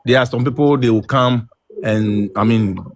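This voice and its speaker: A man's voice: medium pitch, fairly even intonation, normal speed, slight background noise. A man speaking as a guest in an online live chat, earnest and repetitive. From Ghana. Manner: earnest, repetitive, calling his listener "Charlie".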